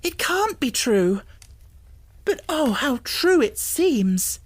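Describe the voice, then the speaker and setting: A woman's voice: high-pitched, big pitch swings, takes it slowly, no room sound, pitched above the performer's own voice, heavily acted. A woman voicing a poor, dreamy young girl full of wonder, in rapt, fervent exclamations for a storybook. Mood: amazed, rapturous. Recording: music bed under the voice. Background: music.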